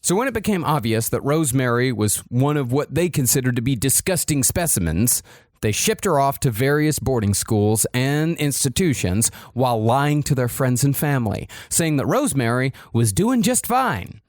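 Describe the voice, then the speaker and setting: A podcast host casually recounting a history story, conversational and swearing now and then. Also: male voice, mid-range voice, big pitch swings, normal speed, dry recording, studio quality.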